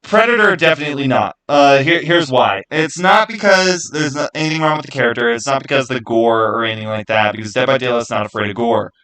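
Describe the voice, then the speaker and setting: A man with a bright, punchy voice at an ordinary male pitch talks off the cuff as a video host. He gives opinions on games in a casual way. He leans on his points emphatically, often restating a phrase to drive it home. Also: animated, fast, few pauses, rough recording. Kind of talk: casual unscripted gaming commentary.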